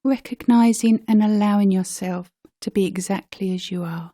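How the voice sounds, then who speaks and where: A woman leading a guided meditation as a teacher, speaking gently and unhurriedly with pauses between short phrases. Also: mid-range voice, no room sound, studio quality.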